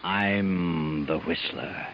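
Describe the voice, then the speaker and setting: An actor playing a mysterious, ominous storyteller, narrating a 1940s radio mystery in a theatrical, deliberate way. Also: male voice, low voice, fairly even intonation, very noisy, exaggerated voice. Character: mysterious, ominous night-walking male storyteller. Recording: muffled 1940s radio recording with music.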